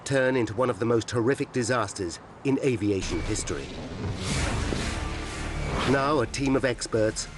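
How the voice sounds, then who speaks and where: A man's voice: medium pitch, moderate pace, long pauses. A British man reading scripted disaster documentary narration in a grave, suspenseful, dramatic manner. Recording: loud dramatic music underneath. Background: dramatic music and disaster sound effects.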